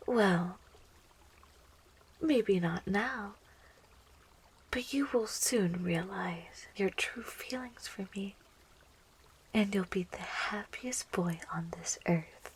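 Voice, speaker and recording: A woman voicing a sweet, clingy yandere plant girl in a soft, coaxing whisper for an ASMR roleplay. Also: mid-range voice, a little hiss or hum, natural voice. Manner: coaxing, cooing, giggly, slow.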